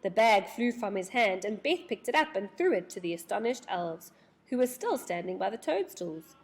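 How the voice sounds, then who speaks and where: Animated woman reading a children's story. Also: medium pitch, normal speed. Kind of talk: expressive children's storybook narration.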